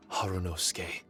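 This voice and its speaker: Slow, solemn paper spirit. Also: male voice. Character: solemn, soft-spoken magical paper spirit servant. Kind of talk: animated video game voice acting.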